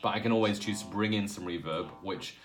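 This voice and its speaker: A man giving a casual, hands-on audio software tutorial, explaining informally step by step as he works. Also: fairly low pitch, a little fast, faint music behind.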